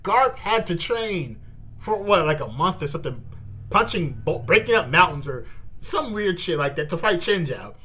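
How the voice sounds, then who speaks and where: A host giving an animated, slangy live reaction in casual commentary, repeating words and exclaiming. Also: mid-range voice, moderate pace, slightly rough. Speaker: host of a manga reaction channel.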